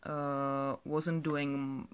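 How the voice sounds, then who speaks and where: Flat-toned young woman with a Czech accent. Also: sits low, clear, resonant tone. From Czech Republic. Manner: halting, repeats words. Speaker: direct sales director telling her story. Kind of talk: unscripted personal story to camera. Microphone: phone or webcam held close.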